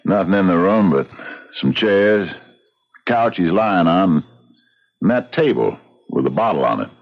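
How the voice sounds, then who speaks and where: An actor playing a folksy, steady Old West sheriff with an American Western accent and a laconic drawl, in a radio drama. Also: male voice, low voice, unhurried, heavily acted. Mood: matter-of-fact. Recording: dull radio recording with faint noise.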